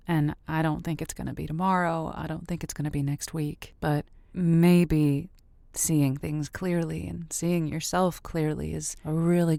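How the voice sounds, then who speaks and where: A dauntless radio matchmaker talks to lonely hearts in a slightly low voice. She is chatty, flirty and sympathetic, in a radio drama with very little room sound. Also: gravelly, a little mellow, no echo, clean studio sound. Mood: sympathetic, encouraging. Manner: sympathetic, chatty, addresses listeners with pet names. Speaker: late-night call-in radio host. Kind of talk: conversational radio drama acting.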